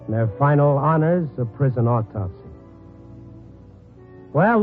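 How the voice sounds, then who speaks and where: An actor playing a grim, wry newspaper reporter who narrates a noir radio drama in a brooding, clipped, dramatic way. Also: male voice, a little high, natural voice. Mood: somber, wry. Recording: tinny 1950s radio broadcast recording.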